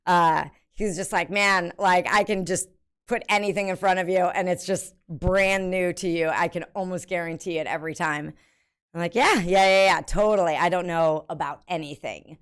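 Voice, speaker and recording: Young American woman streamer, lower-pitched, loose chatty delivery with fillers. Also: fairly even intonation, moderate pace, clear, resonant tone. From United States. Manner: chatty and rambling, full of ums and self-mocking laughs. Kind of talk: casual live-stream chat reading the chat.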